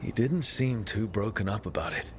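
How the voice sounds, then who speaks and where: Guy playing steady game hero, lowish voice, straight talker, noisy ambience behind. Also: normal speed, close to an everyday voice. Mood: calm. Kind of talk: fantasy game voice acting. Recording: clear background ambience noise.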